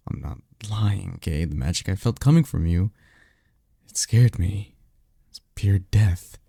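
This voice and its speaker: Man acting as a low, creaky-voiced, guarded vampire. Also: mellow, no echo, studio quality, full character voice. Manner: halting, insistent, colloquial and sardonic. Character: guarded, secretive male vampire offering magical mentorship. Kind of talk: ASMR roleplay audio drama.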